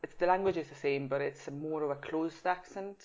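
A woman's voice: low-pitched, fairly quick, a little mellow, lo-fi sound. A woman in her thirties from the Italian part of Switzerland, with a Swiss Italian accent touched by Scottish, answering interview questions in a chatty, frank way and laughing easily. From Italian-speaking Switzerland. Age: 30s. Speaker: guest, jiu-jitsu blue belt.

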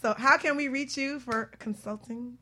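A woman hosting a radio talk show in a casual, chatty, informal way with animated banter. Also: a little high, moderate pace, slight background noise.

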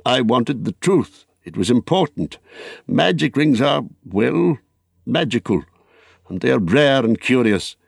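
A man voicing a grave old wizard in a storybook reading, patient, watchful and stern, speaking in a measured, thoughtful way. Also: medium pitch, lively intonation, creaky, slightly rough, dry recording, studio quality, voice pushed up, heavily acted. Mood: grave, insistent.

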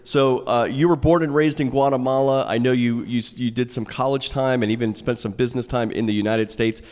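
A man's voice: medium pitch, fairly even intonation, brisk, a little mellow. A man hosting a podcast, asking questions in a conversational way in an indoor space. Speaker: podcast host, interviewer. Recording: reverberant room with long echo.

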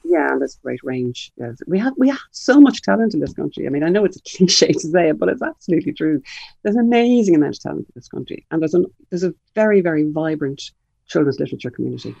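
An older speaker with an Irish accent talks reflectively in an interview, pausing on ums and restarting sentences. The voice is gravelly and husky with a dark, mellow tone. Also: medium pitch, expressive, muffled recording. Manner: thoughtful, hesitant, many ums and restarts. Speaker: children's author and publisher.

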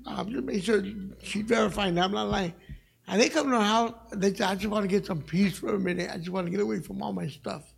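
A middle-aged man with a raspy voice, preaching a sermon in a church as a guest evangelist, passionate and conversational, joking with the congregation. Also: high-pitched, fast talker. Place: church sanctuary.

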